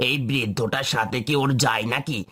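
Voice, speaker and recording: A man playing a caring, concerned father in a fairy-tale cartoon, with a gentle manner. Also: medium pitch, normal speed, breathy edge. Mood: protesting.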